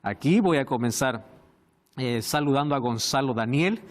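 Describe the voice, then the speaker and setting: A man hosting a Bible study program, speaking in a measured, emphatic way. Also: medium pitch, animated. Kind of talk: studio presentation.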